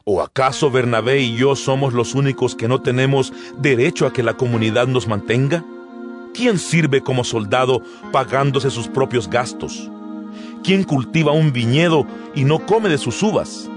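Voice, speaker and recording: An actor playing an earnest apostle addressing his congregation in a measured, emphatic, didactic dramatized scripture reading. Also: mid-range voice, close to an everyday voice. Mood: emphatic, defensive. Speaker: narrator of an audio Bible. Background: background music.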